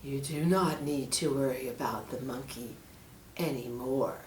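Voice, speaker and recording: A woman voicing a sly, menacing male snake in a storybook, falsely caring, with a hushed, breathy hiss and drawn-out delivery. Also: extremely low pitch, echoey room, distant mic, pitched below the performer's own voice. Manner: slow, drawn-out, sinister delivery.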